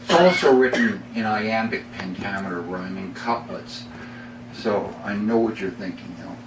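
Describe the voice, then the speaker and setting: A middle-aged Canadian man reading his own poems live, with measured, deliberate phrasing and dramatic pauses. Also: lowish voice. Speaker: poet reading own work. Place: bookstore reading before a small audience. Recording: roomy, distant mic, faint noise.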